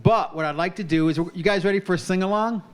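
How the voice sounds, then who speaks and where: A man's voice: high-pitched, quick pace. Upbeat man hosting a talk show. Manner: upbeat, chatty, introduces guests and songs. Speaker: host.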